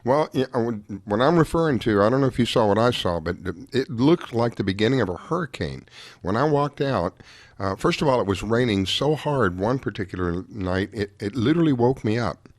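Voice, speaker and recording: A man hosting a talk radio show, hoarse after a late night, chatting in a rambling way with many restarts. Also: mid-range voice, lively intonation, a little fast, no room sound. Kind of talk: casual talk-radio chat.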